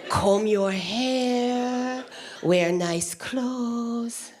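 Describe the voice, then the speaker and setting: A woman comedian voicing her blunt, demanding immigrant mother in slow, clipped, accented broken English. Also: a little high, crowd noise. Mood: bossy. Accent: foreign-accented English.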